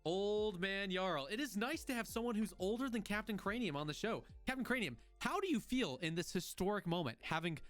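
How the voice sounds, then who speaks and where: A man in his twenties hosts a casual podcast, joking and rambling as he restarts his sentences. His voice sits a little high. Faint music plays beneath. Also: animated, a little fast, hardly any pauses, fairly bright tone. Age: 20s. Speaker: podcast host. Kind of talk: casual chat.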